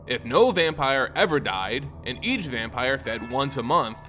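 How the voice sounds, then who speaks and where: A man narrating a scripted fantasy story in a theatrical, measured, dramatic way. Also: mid-range voice, animated, bright, forceful tone. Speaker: lore channel narrator. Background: background music.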